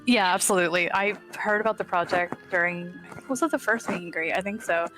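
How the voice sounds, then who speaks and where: A woman's voice: fairly low pitch, brisk, a bit bright, low-quality recording. A woman speaking as a character artist in a casual interview, answering in an eager, informal way. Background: background music.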